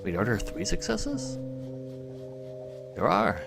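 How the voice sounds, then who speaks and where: A man's voice: mid-range voice, huge pitch swings, slow, creaky, music in the background. A man livestreaming a game, mixing muttered commentary with dramatic readings of the game's text. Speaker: live streamer. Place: indoors, livestream with game music behind.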